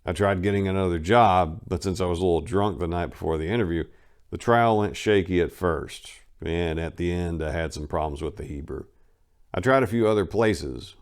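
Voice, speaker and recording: A man giving a dramatic reading of a first-person book, telling it conversationally and punching emphatic words before dropping low at phrase ends. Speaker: reader of a memoir.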